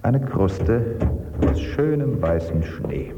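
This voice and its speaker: An actor playing a grave, humorless bunker survivor in a radio drama. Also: male voice, mid-range voice, expressive, slow pace, very noisy. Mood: grim. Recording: dull, distant, long hall reverb.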